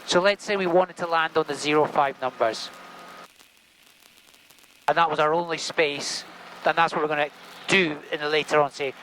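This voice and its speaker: Guy teaching flying over cockpit noise, bit higher voice, casual, step by step, checks in a lot. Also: fairly even intonation, moderate pace, pushed and bright, poor audio. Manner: informal, step-by-step, often checks with "okay?". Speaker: helicopter flight instructor. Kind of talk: hands-on in-flight instruction with casual banter. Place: small helicopter cockpit in flight. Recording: engine noise inside helicopter cockpit.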